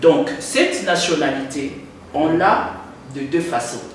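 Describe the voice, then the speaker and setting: A woman giving didactic, repetitive and halting explanations as a party spokesperson in an interview. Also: deep voice, expressive. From Democratic Republic of the Congo. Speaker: political party spokesperson, guest. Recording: echoey, distant mic, faint noise.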